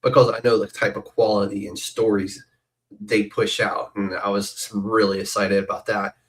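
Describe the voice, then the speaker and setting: A man hosting a podcast, chatting in a rambling, excitable way as a fan. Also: on the low side, normal speed, low-quality recording. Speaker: podcast host. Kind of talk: casual podcast interview.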